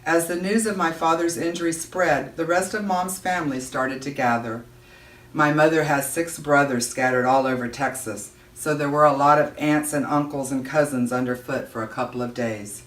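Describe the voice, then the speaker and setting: A woman's voice: deep voice, moderate pace. Echoey, distant woman reading a story aloud. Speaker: audiobook-style reader. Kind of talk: expressive read-aloud of a children's novel. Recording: roomy echo, distant microphone.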